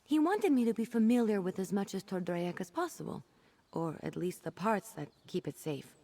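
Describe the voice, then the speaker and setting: A woman voicing an earnest, chatty young female mage apprentice in a video game, expressive and a little exasperated. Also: medium pitch, moderate pace. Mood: earnest, concerned. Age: young adult. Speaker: quest-giving companion character. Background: game music.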